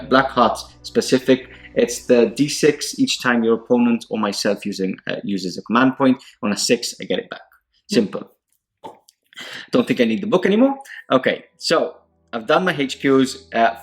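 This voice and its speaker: A man whose first language is Spanish, hosting a tabletop wargame show with casual, unscripted rundowns. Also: mid-range voice. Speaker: host of a tabletop wargame battle report show.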